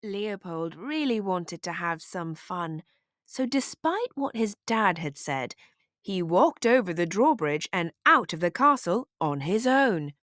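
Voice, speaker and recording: Female read-aloud narrator for kids' storybook, animated intonation, deliberate slow pacing. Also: lowish voice, no echo, studio quality.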